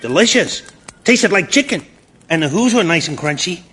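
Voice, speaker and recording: An actor playing a nervously polite man flattering his host in a comedy. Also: high-pitched, moderate pace, background noise. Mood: nervous politeness. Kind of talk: comedy sketch acting.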